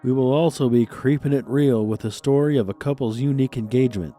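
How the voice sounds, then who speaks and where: Male podcast host, congested and nasal from a cold, mellow voice, theatrical spooky narration. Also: medium pitch, fast talker, faint music behind. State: stuffed up, congested nose. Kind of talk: scripted paranormal podcast narration.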